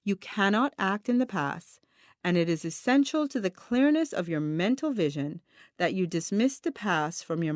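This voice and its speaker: Woman narrating, lively intonation, dry close sound. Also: medium pitch, dry recording. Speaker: audiobook reader. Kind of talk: scripted narration of a self-help book.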